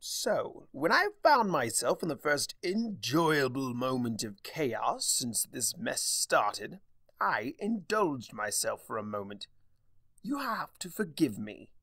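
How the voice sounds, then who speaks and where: Man voicing a mischievous chaos spirit, theatrical, wry, swooping pitch, self-indulgent, cartoon fan story. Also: sing-song, gravelly, pushed and bright, breathy edge, no room sound, clean studio sound, exaggerated voice. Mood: smug, amused. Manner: theatrical, wry, drawn-out delivery.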